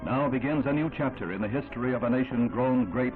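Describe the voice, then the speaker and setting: A man's voice: medium pitch, fast talker. Solemn American man narrating a tinny vintage newsreel. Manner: solemn, dramatic, declamatory delivery. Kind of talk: 1960s newsreel scripted narration. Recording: tinny band-limited vintage newsreel, music behind.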